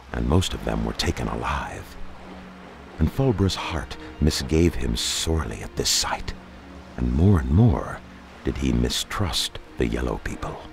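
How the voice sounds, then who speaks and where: Male narrator, dark fantasy audiobook: bottomless pitch, expressive melody, solemn measured delivery. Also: gravelly. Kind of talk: scripted dramatic narration of dark fantasy fiction.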